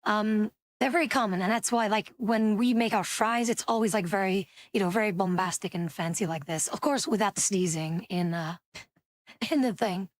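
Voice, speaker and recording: A woman's voice: medium pitch, moderate pace, a little hiss or hum. Chatty woman streamer. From Belgium. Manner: chatty, rambling, many fillers. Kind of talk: casual reaction commentary.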